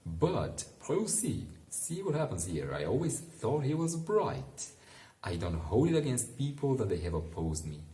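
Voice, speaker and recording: Man, slow pace, dark soft-edged tone, relaxed read-aloud, casual asides, echoey room. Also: mid-range voice. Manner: unhurried, musing, informal. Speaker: bedtime story reader and commentator.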